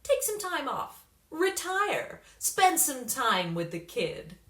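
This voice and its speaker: A woman's voice: high-pitched, big pitch swings, slow, a bit bright, reverberant, voice pushed up, full character voice. A woman voicing a haughty, possessive female pop star in a storybook, imperious and dismissive.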